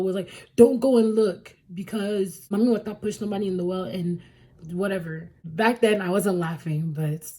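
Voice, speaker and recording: A woman's voice: medium pitch, warm tone. A woman from Cameroon, a vlogger casually telling a story in a chatty, rambling way, often correcting herself and laughing.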